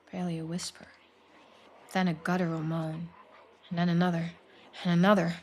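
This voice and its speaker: A woman's voice: on the low side, slightly rough. A woman game streamer reading out a story game and chatting with her viewers during a live playthrough. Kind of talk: live playthrough narration and chat.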